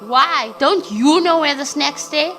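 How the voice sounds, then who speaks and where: An actress playing a defiant, scornful young wife with a South African accent in an audio drama. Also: female voice, high-pitched, animated, a little slow, pushed and bright, heavily acted. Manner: snappy, confrontational.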